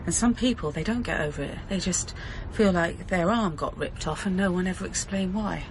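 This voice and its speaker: A woman's voice: fairly low pitch, normal speed, noisy background, close to an everyday voice. A woman playing an anxious, vulnerable pregnant mother in naturalistic radio drama, plain-spoken and hesitant. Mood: numb, anxious.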